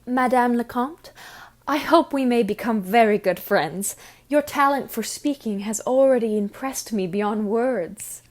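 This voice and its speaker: A woman's voice: a little high, measured pace, close to an everyday voice. Woman playing a gracious young noblewoman. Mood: friendly, confiding. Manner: polite, formal period speech. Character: gracious, diplomatic young noblewoman, engaged to be married. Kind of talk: audio drama acting.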